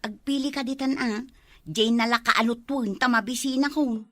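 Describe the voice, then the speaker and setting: A woman's voice: on the high side, steady tone, normal speed, a bit bright, no echo, lightly acted. A woman acting an exasperated village woman in an Ilocano comedy drama, with an Ilocano Filipino accent. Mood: matter-of-fact. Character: exasperated village woman with broken dentures, borrowing money.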